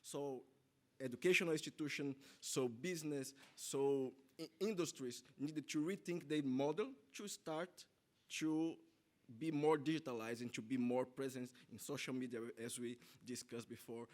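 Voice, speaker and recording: A man from Brazil whose first language is Portuguese, giving a conference presentation in a halting way, with frequent restarts and self-corrections. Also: medium pitch, darkish tone.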